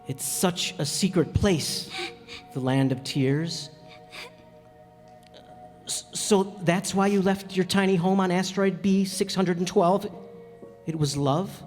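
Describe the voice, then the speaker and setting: A man acting a gentle, reflective narrator with a slightly hesitant delivery in a radio play. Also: high-pitched, slow pace, long pauses. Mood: tender, troubled, then gently curious. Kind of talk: dramatic storytelling in a radio play. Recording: echoey hall with music bed.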